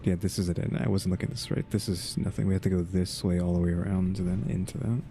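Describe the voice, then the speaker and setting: A man's voice: low voice, steady tone, quick pace, creaky, mellow, a little hiss or hum. A man giving casual, off-the-cuff gameplay commentary, musing aloud in a wry, rambling way. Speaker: gaming streamer. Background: game music.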